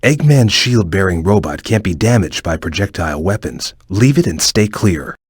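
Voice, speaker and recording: A man voicing a stern, watchful cartoon ninja guide in a video game, with a measured, formal, cautious way of advising. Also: deep voice, animated, breathy edge, no echo, clean studio sound. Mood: watchful, serious. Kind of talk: video game voice acting, short hint lines.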